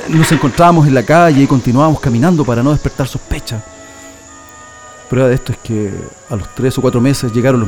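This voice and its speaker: A man's voice: mid-range voice, darkish tone, close to an everyday voice. A Chilean man playing a former printing worker and resistance militant telling his story in a first-person radio drama. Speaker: narrator giving testimony in a radio drama.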